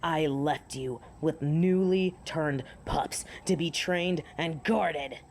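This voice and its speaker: A woman's voice: low-pitched, darkish tone. A woman playing a proud, sardonic female werewolf alpha in a theatrical fantasy audio drama. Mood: stern, commanding. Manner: haughty, theatrical, deliberate. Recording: clear background noise and ambience.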